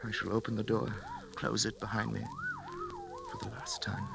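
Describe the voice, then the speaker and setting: A doomed scientist in an old radio thriller, his voice gravelly and swinging widely in pitch. He is grave, remorseful and theatrical, with music swelling behind him. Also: huge pitch swings, normal speed, full character voice. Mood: solemn, bitter. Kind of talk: 1940s radio drama acting. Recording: vintage 1940s radio broadcast with music.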